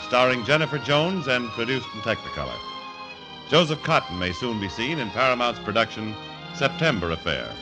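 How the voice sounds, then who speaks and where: A man announcing in formal, polished 1940s radio style, reading from a script. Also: mid-range voice. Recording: vintage radio broadcast with music behind.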